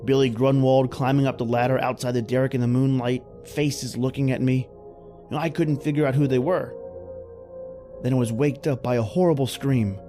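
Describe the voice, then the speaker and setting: A man acts out a plain-spoken middle-aged roughneck in an old-time radio horror play. He talks in folksy slang at a medium pitch and hesitates as he recalls dark events. Music runs underneath. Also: steady tone, normal speed, lightly acted. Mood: haunted storytelling.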